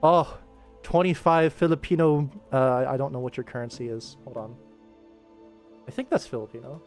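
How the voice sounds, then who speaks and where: A young man with a mid-pitched voice hosts a casual work livestream. He rambles and thinks out loud in a playful, informal way, with music playing behind him. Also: fairly even intonation, moderate pace. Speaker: livestream host and animator. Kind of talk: casual livestream chat while working.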